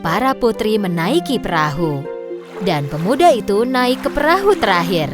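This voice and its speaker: A woman narrating a children's fairy tale in a lilting, expressive storybook manner. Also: medium pitch, moderate pace. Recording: clear music bed underneath.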